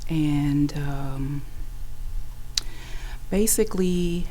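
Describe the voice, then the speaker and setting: Actress interviewee: woman, deep voice, hesitant and reflective, slight background noise. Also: very slow, dark tone. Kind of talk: personal interview answers.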